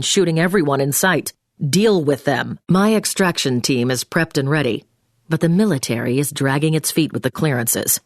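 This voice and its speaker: An actress playing a brisk, commanding intelligence agent in a video game, giving mission orders in a businesslike, clipped way. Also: female voice, low-pitched, no room sound, studio quality. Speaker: mission handler over the phone. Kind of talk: scripted video game voice acting.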